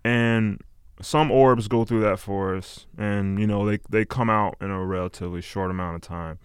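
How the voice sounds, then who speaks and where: A man in his late twenties chatting casually as an interview guest, halting and meandering as he reflects. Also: fairly low pitch, fairly even intonation, clear, resonant tone, dry recording, studio quality. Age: late 20s. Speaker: interview guest, music artist. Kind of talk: casual chat.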